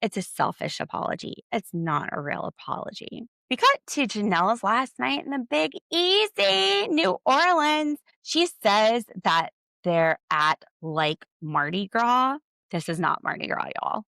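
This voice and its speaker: A young woman talks through a reality show recap in a loose, sarcastic way. Her voice crackles with heavy vocal fry. The sound is dry and close, with no room around it. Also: lively intonation, a little slow, pushed and bright, no room sound. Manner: chatty and sarcastic, acting out quotes in a high voice. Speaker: podcast host. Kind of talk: casual recap chat.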